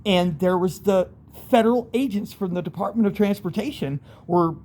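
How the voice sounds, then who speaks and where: A man's voice: high-pitched, moderate pace, darkish tone, slight background noise. A middle-aged American man telling personal stories casually, in a rambling, candid way with street slang. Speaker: storyteller, channel host. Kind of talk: casual first-person storytelling monologue.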